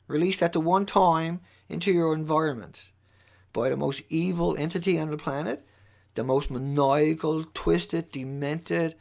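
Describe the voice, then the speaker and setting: Male livestream host, fairly high squeaky voice with heavy fry. Impassioned, unscripted monologue, urgent and repetitive for emphasis. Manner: urgent, emphatic, repeating phrases for stress.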